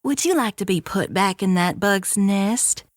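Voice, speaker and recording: A woman's voice: mid-range voice, unhurried, no echo, studio quality, close to an everyday voice. A woman playing a brash, plucky young treasure hunter in an audio drama, blunt and sassy.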